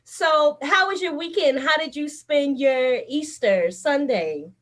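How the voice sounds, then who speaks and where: A woman's voice: sits high, expressive, slow, fairly bright tone. A woman from Baltimore hosting a casual interview show, upbeat and full of slang. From Baltimore, USA. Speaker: host.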